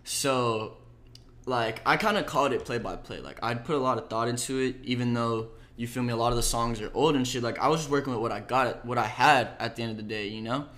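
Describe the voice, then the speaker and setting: Young male musician, soft-spoken and slangy, relaxed casual chat. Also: medium pitch, a little fast. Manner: laid-back slangy talk, lots of "like" and "bro". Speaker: musician talking about his EP.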